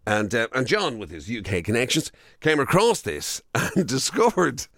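A man's voice: mid-range voice, huge pitch swings, normal speed, a bit bright, no echo, studio quality. An Irish man chatting and teasing casually as a radio co-host.

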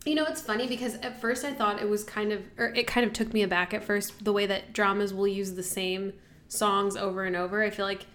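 A woman co-hosting a casual podcast, with a somewhat monotone voice, jokey and self-deprecating, talking into a mic while wearing headphones. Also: mid-range voice, brisk. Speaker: podcast co-host. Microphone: microphone, wearing headphones.

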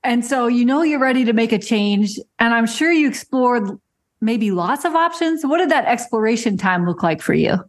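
A female podcast host whose voice sits somewhat high. She asks her guest questions in a warm, complimentary interviewing manner. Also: lively intonation, normal speed.

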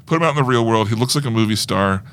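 Man, lower-pitched voice, podcast co-host, casual conversational movie reviewing. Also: very fast, a bit bright, a little hiss or hum.

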